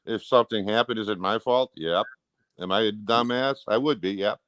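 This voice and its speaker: Guy guest just chatting, low voice, dry sound, blunt folksy way of talking, rambles a bit. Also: normal speed, a bit bright, no room sound. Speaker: interview guest. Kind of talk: casual chat.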